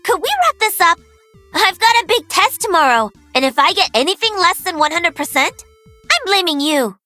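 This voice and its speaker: A woman's voice: very high voice, sing-song, moderate pace, bright, forceful tone, no room sound, soft background music, heavily acted. A woman playing a cocky, playful young pro-gamer mech pilot in a video game, cheeky and bubbly. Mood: bored, teasing. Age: young adult. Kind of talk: video game character voice lines.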